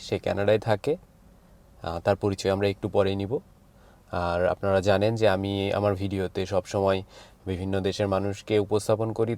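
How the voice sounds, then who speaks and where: A man hosting an interview show, racing through his intro and asking questions slowly and carefully in English. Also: on the low side, darkish tone. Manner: fast in his own language, slower and careful in English. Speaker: host.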